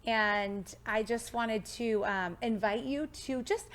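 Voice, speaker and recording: A woman's voice: fairly high pitch, slow, a bit bright. A woman in her thirties hosting a podcast in a studio, bubbly and enthusiastic as she chats with her guest. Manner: bubbly, enthusiastic, scripted intro then chatty. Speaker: podcast host. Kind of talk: upbeat podcast interview.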